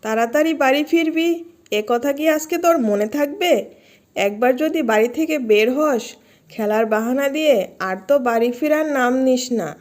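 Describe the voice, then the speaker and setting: A woman's voice: high-pitched, normal speed, natural voice. A woman voicing an exasperated but indulgent animal mother in a cartoon fairy tale, scolding yet giving in. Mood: scolding, questioning.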